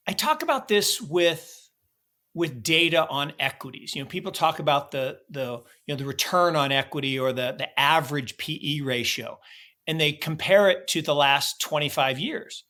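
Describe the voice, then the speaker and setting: A man's voice: a little high, lively intonation, measured pace, sharp tone. An American man co-hosting a podcast, chatting casually and animatedly about markets and travel, often restarting his sentences. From United States. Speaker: podcast co-host.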